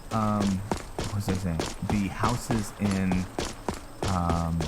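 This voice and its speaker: A man's voice: low voice, steady tone, takes it slowly, very noisy. A man giving casual commentary on his roleplay playthrough, rambling, hesitant and often trailing off. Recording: game music behind the voice. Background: video game music.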